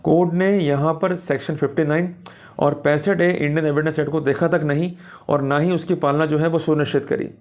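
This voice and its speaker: A man's voice: fairly high pitch, fairly even intonation, a little mellow. A man with an Indian accent lecturing on law in a didactic way, reading passages out and explaining them. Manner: didactic, checks understanding with short questions; reads English passages slowly and deliberately, explains quickly in Hindi.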